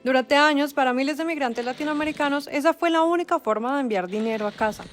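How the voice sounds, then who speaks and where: A woman narrating a documentary script in a measured, dramatic storytelling cadence. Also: high-pitched, faint music behind.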